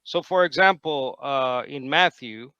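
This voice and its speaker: A man in a debate, cross-examining his opponent with measured, probing questions. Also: medium pitch, pushed and bright, clean tone, no room sound, muffled recording.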